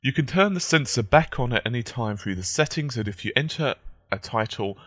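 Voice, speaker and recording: A man with a croaky, just-woken-up voice, giving casual, analytical gaming news commentary. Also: medium pitch, animated, a little fast, fairly bright tone, no echo, studio quality. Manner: conversational, analytical.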